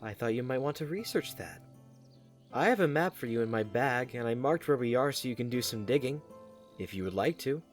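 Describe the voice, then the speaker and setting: A man playing a loyal, gently teasing young knight in a fantasy audio drama, courteous and understated. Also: mid-range voice, expressive, a little fast, soft background music, lightly acted. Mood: casual, thoughtful. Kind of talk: fan audio drama acting.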